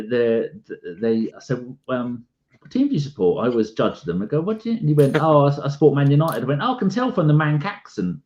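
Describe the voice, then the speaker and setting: A man's voice: medium pitch, muffled recording. A man from London co-hosting a football podcast, ranting and acting out conversations in casual chat. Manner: animated, ranting, acts out conversations. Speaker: podcast co-host.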